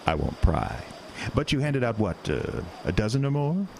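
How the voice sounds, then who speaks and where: An actor playing a sinister, smooth-talking male carnival showman in a horror audio drama, with a deep, coaxing, theatrical delivery. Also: big pitch swings, moderate pace, a little hiss or hum. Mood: sly. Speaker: carnival owner.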